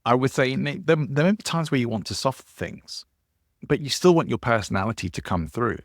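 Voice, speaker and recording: A man co-hosting a podcast, with a husky voice and a measured, thoughtful way of talking in casual chat. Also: medium pitch, animated, no room sound, clean studio sound. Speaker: podcast co-host.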